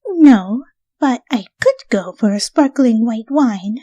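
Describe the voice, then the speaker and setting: A woman's voice: on the high side, expressive, slow pace, mellow, no room sound, dull audio, pitched above the performer's own voice. A woman voicing a refined, genteel female pony in a story reading, polite and formal. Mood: polite, bemused.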